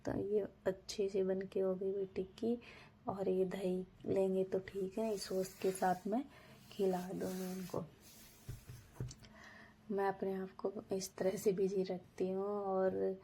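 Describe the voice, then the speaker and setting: A woman with a sore throat narrating her daily routine in a low, breathy half-whisper, unhurried and homely. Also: mellow. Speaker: vlogger.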